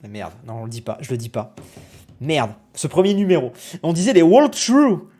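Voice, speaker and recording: A man's voice: fairly high pitch, moderate pace. Young guy streaming, mocking himself, bouncy up and down voice, lots of exclaiming. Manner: animated, self-mocking, exclamatory. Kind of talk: live stream reaction.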